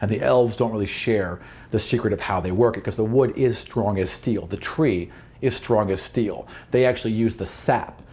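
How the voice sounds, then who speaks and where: A man's voice: lowish voice, normal speed, a little mellow. A man working as game master, narrating a fantasy tabletop game in a casual, conversational and sometimes profane way.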